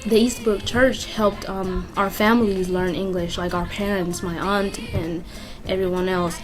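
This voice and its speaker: A woman's voice: medium pitch, fairly even intonation, unhurried. A woman from Kenya speaking candidly in an interview, often using fillers like "you know" and "like.". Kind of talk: personal interview narrative. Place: community youth center. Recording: music bed under interview. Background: music playing underneath.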